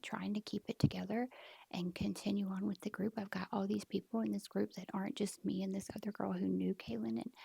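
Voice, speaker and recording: A woman with a drawl tells a personal story from her office, her voice heavily gravelly and breathy-husky. She rambles casually, full of "like", often sinking to a near-whisper. Her tone sits dark and mellow, with a dry, close sound. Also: mid-range voice, dry recording. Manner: rambling, frequent "like", often hushed and half-whispered.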